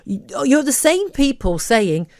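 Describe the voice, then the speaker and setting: A woman radio talk show host, arguing her point forcefully in a studio interview. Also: high voice, very animated, slow, dry recording.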